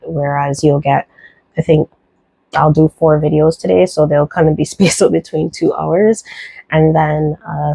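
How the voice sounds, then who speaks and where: Low gravelly Barbadian woman vlogger. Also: no echo, low-quality recording. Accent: Barbadian, softened. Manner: relaxed, chatty, many fillers, light laughs. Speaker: soap-making vlogger. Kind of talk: casual tutorial chat.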